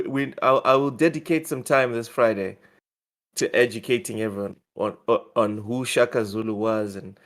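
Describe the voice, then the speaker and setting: A man co-hosting a casual gaming news show, talking excitedly and often repeating words as he thinks. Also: mid-range voice. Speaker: podcast co-host.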